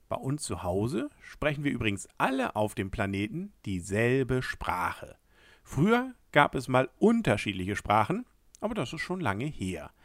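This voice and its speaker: Guy reading a kids story like a letter, talks right to you, animated, dry close mic. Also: medium pitch, no room sound. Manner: friendly, addressing the listener directly.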